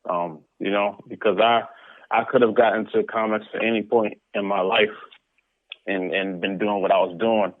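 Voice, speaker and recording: Male guest, lowish pitch, casual talker, thin phone-quality audio with no room sound. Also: fairly even intonation, normal speed, dry recording. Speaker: interview guest, comic book creator. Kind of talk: casual conversational interview answers. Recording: narrow, tinny phone-like line.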